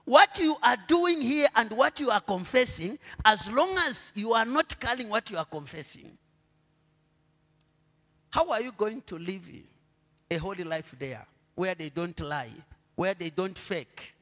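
A middle-aged Zambian man preaching a sermon on a church stage into a handheld mic. He asks the congregation to finish his sentences and swings between quiet murmurs and loud, drawn-out proclamations. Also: high-pitched, lively intonation, in a large hall. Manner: preaching with call-and-response questions to the congregation. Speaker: pastor.

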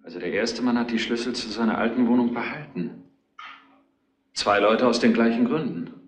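A man's voice: medium pitch, moderate pace, close to an everyday voice. Methodical detective's assistant played by a man, roomy sound. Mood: reasoning. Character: male detective assistant, methodical and matter-of-fact. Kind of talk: retro German crime radio play acting. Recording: roomy, faintly noisy retro radio play.

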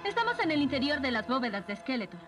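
Una actriz con acento latinoamericano que interpreta a una joven guerrera orgullosa, seria y decidida en una caricatura doblada. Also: female voice, sits high, very animated, fast. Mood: determined. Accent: Latin American Spanish. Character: proud, determined young warrior woman. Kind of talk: dubbed 1980s cartoon acting. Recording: loud music behind dubbed cartoon dialogue.

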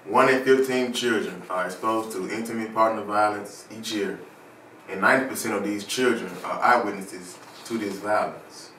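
Young male actor, low voice, scripted appeal, recorded off-mic with background noise. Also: normal speed, sharp tone. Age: young adult. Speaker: actor promoting a stage play. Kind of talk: rehearsed fundraising appeal. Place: indoor living room. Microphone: distant camera microphone. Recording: roomy, distant, noticeable background noise.

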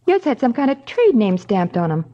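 An actress playing a brash, nosy, wisecracking office secretary with a husky voice in old-time radio drama style. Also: female voice, a little high, big pitch swings, moderate pace, warm tone. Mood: nosy, pert. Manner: brash, slangy, gossipy. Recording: muffled old radio recording.

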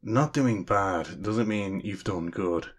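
A man playing a calm, dry devil who judges souls, measured and deadpan in a radio drama. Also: low-pitched, breathy edge, full character voice. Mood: dry, matter-of-fact.

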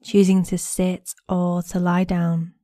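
Slow, mellow, soothing woman meditation guide. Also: on the low side, monotone, no room sound, studio quality. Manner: gentle, soothing, unhurried with long pauses. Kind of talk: guided body scan meditation narration.

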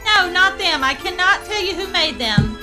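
Defensive Christmas elf, squeaky, very high pitch, folksy sass, cartoon comedy, background music. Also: very animated, normal speed. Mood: evasive. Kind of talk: amateur comedy game dub. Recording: music playing behind the voice.

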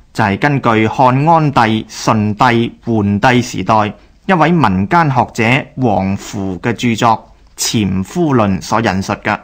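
A male narrator presents history for radio in Hong Kong Cantonese. His voice sits at a middle pitch, and he explains things formally, in measured scripted sentences.

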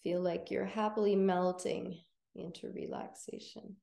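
A woman teaching yoga nidra, guiding relaxation in a gentle, soothing, unhurried manner with long pauses. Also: lowish voice, fairly even intonation, vocal fry, echoey room. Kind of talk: guided relaxation meditation.

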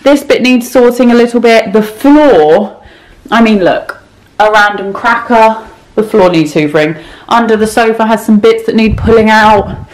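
A woman's voice: fairly high pitch, normal speed. Chatty woman in an echoey kitchen. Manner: chatty, self-mocking, talks while moving and tidying. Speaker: cleaning vlogger. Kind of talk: casual clean-with-me vlog chat. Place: home kitchen and rooms, indoors. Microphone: camera mic, handheld and set on the counter.

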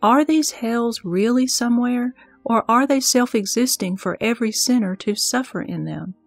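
A woman's voice: on the high side, moderate pace, gravelly, dry recording. A reverent disciple played by a woman speaks in a scripture audio drama. The recitation is formal, careful and earnest, dry and close, with music behind it.

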